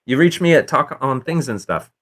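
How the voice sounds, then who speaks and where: A man's voice: mid-range voice, lively intonation, moderate pace, background noise. A middle-aged man hosting a casual call-in show, rambling with many fillers and restarts.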